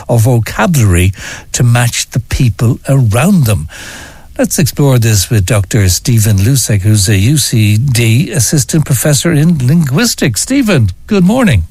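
A man's voice: medium pitch, darkish tone, no room sound. A man with a Dublin Irish accent, presenting a morning radio show in a conversational style. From north side of Dublin, Ireland.

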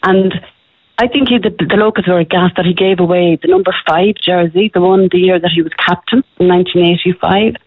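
A middle-aged Irish woman, a former television presenter, telling a lively story as a radio interview guest. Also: lowish voice, brisk, husky, no echo. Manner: breathless, excitable, addresses host by name. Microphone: telephone. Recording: tinny phone line.

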